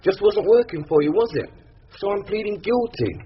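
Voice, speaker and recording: Husky, stubborn young defendant. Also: male voice, sits high, lively intonation, moderate pace, muffled recording, full character voice. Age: young adult. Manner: colloquial, blunt, non-standard grammar. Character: stubborn, resigned young male defendant in custody. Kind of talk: British radio drama acting.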